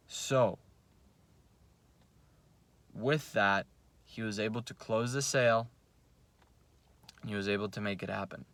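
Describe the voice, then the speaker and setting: Casting note: young male vlogger, Russian native, somewhat low voice, crude motivational talk, dry close mic. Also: a little slow, pauses often, fairly bright tone, no room sound. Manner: blunt, profane, self-coaching. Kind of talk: casual motivational monologue. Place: home, at night before bed.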